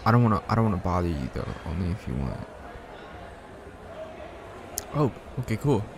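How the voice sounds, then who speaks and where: A man acting a shy, smitten young man in an ASMR roleplay, speaking softly and hesitantly with bashful stammers. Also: low-pitched, sing-song, normal speed, background noise. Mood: eager, helpful. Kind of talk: ASMR roleplay, soft one-sided conversational acting.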